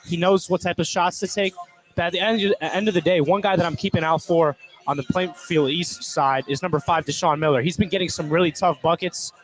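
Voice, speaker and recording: Male color commentator, slightly high voice, casual sports analysis, informal conversational delivery. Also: fairly even intonation, brisk, rough recording. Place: indoor gym at basketball tournament.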